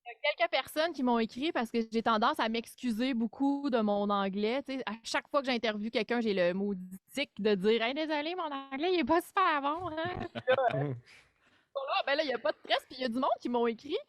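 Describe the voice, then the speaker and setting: A fairly high-voiced woman with a Québécois accent chats as a podcast guest, recorded dry and close. She talks in an animated, self-deprecating way, full of little fillers. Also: fairly quick, no room sound. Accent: Québécois French. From Quebec. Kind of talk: casual chat.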